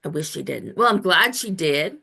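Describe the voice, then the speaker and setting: A woman's voice: low-pitched, very animated, normal speed, gravelly, sharp tone, slightly rough. A woman in her fifties hosting a chatty interview show in an enthusiastic, informal and effusive way. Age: 50s. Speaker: show host and health coach.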